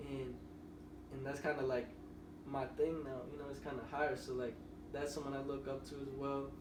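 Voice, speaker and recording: A young man, a musician guest on a podcast, telling loose, rambling stories in casual conversation. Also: mid-range voice. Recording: roomy, distant mic with noise.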